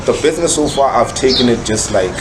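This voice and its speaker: A man's voice: medium pitch, expressive, quick pace. A South African man talking casually and explaining himself in slang as an interview guest, a rapper and songwriter. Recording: noisy ambience with birdsong.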